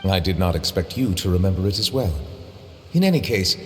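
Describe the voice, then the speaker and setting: An actor playing a dignified, reserved chief judge in a video game, speaking in a measured, precise, formal manner. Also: male voice, deep voice, big pitch swings, big-room sound, slight background noise. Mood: earnest, courteous.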